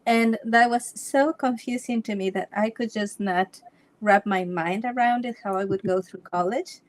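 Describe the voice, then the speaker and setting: Woman from Ecuador, interview guest, chatty storytelling, mid-pitched voice. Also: normal speed, dry recording. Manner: storytelling with frequent restarts and light laughs. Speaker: Feng Shui consultant, interview guest. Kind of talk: casual interview chat.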